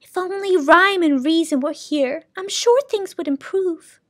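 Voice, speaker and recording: A woman voicing a sorrowful but kindly female keeper of sounds in a read-aloud storybook, high and tearful. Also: a little slow, no echo, clean studio sound. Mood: gentle. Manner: high, tearful, then gentle and motherly.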